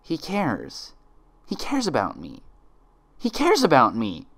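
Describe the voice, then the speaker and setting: A man's voice: mid-range voice, huge pitch swings, takes it slowly, pitched above the performer's own voice, heavily acted. A man voicing a defiant, desperate young female unicorn in a storybook reading, with intense, emphatic delivery. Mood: desperate hope. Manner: intense, emphatic, shouting when angry.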